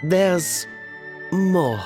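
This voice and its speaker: Earnest, very expressive colleague. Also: male voice, high-pitched, extremely slow, heavily acted. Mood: explaining, serious. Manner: articulate, explanatory. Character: earnest, reassuring male restaurant colleague explaining patiently. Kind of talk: anime game voice acting. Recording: music behind the voice.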